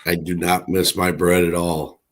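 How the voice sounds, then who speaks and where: A middle-aged man with a raspy, hoarse voice from allergies, chatting casually in a rambling way with many fillers. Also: sits low, little pitch movement, measured pace. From Chicago area. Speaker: co-host. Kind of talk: casual livestream chat.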